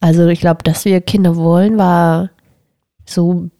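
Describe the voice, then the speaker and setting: A woman's voice: fairly low pitch, flat delivery, measured pace, mellow, no echo, studio quality. A young woman co-hosting a casual podcast with her husband, teasing him and laughing often. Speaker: podcast co-host. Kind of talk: casual couple chat.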